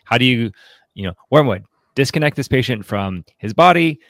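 A man co-hosting a podcast in a casual, reflective book discussion, haltingly repeating his words as he thinks. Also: medium pitch, expressive, vocal fry, fairly bright tone, dry recording. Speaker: podcast co-host.

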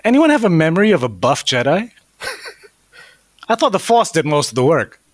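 A man's voice: on the high side, very animated, normal speed, no room sound, studio quality. A man in casual podcast banter who jokes, swears freely and acts out quotes in silly voices.

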